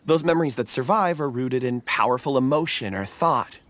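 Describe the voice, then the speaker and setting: A man voicing a gentle, thoughtful companion who speaks in a measured way. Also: medium pitch, animated, background noise, close to an everyday voice. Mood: calm. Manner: measured, reflective. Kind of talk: video game voice acting.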